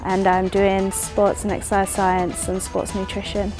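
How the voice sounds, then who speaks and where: A nineteen-year-old woman speaks in a flat, even monotone at a middle pitch. She is matter-of-fact, and music plays clearly in the background. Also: measured pace. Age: young adult. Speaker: interviewee, student athlete. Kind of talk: documentary interview.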